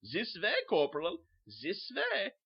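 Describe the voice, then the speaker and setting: A man with a put-on German accent playing a sly, commanding butcher and officer in a horror story, husky, coaxing and imperious. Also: sits high, huge pitch swings, slow pace, voice pushed up, full character voice. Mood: urging, commanding. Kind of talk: theatrical horror story acting. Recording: dull lo-fi home recording.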